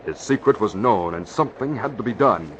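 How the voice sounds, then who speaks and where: A man's voice: lowish voice, lively intonation, brisk. A man narrating an old-time radio drama in a dramatic, scripted style. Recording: muffled, noisy old radio recording.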